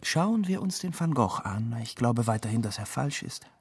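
An actor playing a laconic, sceptical lover in a radio play, with a raspy, gravelly voice. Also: male voice, mid-range voice, lively intonation, normal speed. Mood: cool, laconic.

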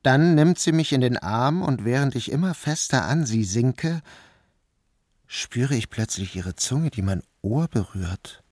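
A man reading a literary story aloud as a first-person narrator, with a measured and even delivery. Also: medium pitch, dry recording, clean studio sound. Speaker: audiobook narrator. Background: music.

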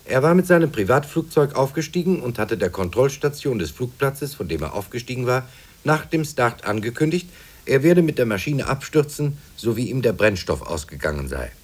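A grave newsreader delivers disaster reports in a fifties radio drama. His husky voice sits mid-range and swings dramatically in pitch, formal throughout. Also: sing-song, normal speed. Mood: grave, dramatic. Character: urgent newspaper and radio news reporter. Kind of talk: dramatised 1950s news report.

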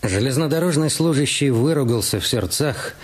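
A man's voice: mid-range voice, expressive, normal speed. A man reading a detective novel as narrator in a scripted radio production. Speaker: narrator of an audio drama. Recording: clear music under the voice. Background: background music.

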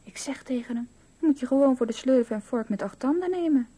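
An actress voicing a dreamy, playful little girl telling her fantasies in a radio play, with a breathy voice. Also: high-pitched, expressive, fast talker, mellow. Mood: playful, chattering. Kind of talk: radio drama, storytelling and chanting rhyme.

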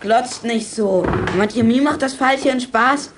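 A woman's voice: a little high, unhurried, noisy background, close to an everyday voice. A woman playing a feisty, plucky young detective in a children's radio play, telling exploits in clipped telegram style. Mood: excited, boastful. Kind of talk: 1980s German children's radio play acting.

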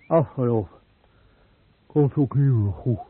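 Radio drama: lonely burn patient, slow halting fragments and stammer, narrow tinny sound. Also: male voice, mid-range voice, breathy edge. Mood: resigned. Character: badly burned male car-crash patient, halting, lonely, insecure. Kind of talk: Dutch radio drama acting. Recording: thin phone-like band, muffled radio recording.

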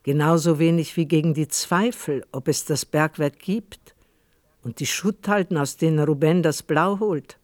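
Dry, slightly high-voiced male narrator. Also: fairly even intonation, normal speed, no echo. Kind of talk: scripted literary radio play narration.

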